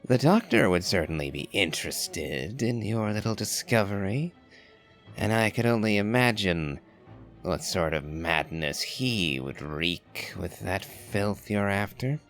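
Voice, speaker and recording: A man giving wry, measured, articulate commentary on a game's story. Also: low-pitched, fairly bright tone. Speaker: gamer, channel host. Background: game music.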